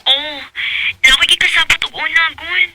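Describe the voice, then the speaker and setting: A sweetly apologetic young girlfriend in a radio drama, coaxing in a very high voice. She has a Cebuano Filipino accent, and the recording is clearly noisy. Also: steady tone, normal speed, bright, forceful tone, exaggerated voice. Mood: apologetic, evasive.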